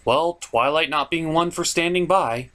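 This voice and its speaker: A man's voice: on the high side, measured pace, fairly bright tone. A man hosting a comedic cartoon review, narrating casually in a sarcastic, irreverent way. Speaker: host of a cartoon review show.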